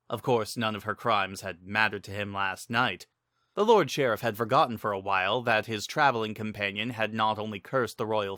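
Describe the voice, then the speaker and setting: Bright-voiced man narrating a fantasy story. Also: lowish voice, dry recording, clean studio sound. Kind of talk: dramatic reading of fantasy fiction.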